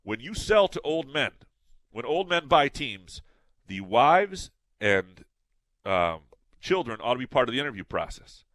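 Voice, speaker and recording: A man's voice: mid-range voice, sing-song, unhurried, fairly bright tone, no room sound, low-quality recording. A man co-hosting a sports podcast in casual chat, a little nasal, rambling with restarts and fillers. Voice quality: slightly nasal.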